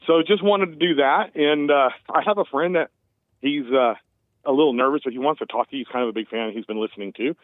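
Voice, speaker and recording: A man's voice: mid-range voice, quick pace, dry recording. A man in his late fifties, calling in to a show, talking in an easygoing, rambling way with many fillers. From United States. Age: 50s. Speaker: caller. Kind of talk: casual phone call. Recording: tinny phone line.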